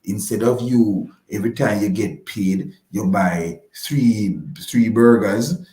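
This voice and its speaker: A man's voice: fairly low pitch, slow pace, mellow, roomy sound. A man giving conversational advice as a radio guest, emphatic and repeating key phrases to reassure. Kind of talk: conversational advice on a live broadcast.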